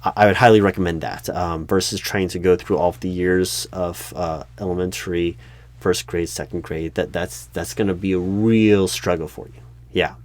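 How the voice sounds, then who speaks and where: A man playing a flustered, earnest recruiting manager in a one-sided job interview roleplay, speaking haltingly and often correcting himself. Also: deep voice, steady tone, close to an everyday voice. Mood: awkward, earnest. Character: flustered, unobservant male corporate recruiting manager, earnest, exasperated. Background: computer keyboard typing.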